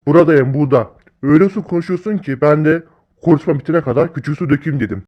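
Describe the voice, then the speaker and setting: Casting: male actor as excitable, bossy big-brother type for comic cartoon, brash and scolding, fairly high voice. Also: quick pace, lo-fi sound, lightly acted. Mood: cocky, scheming.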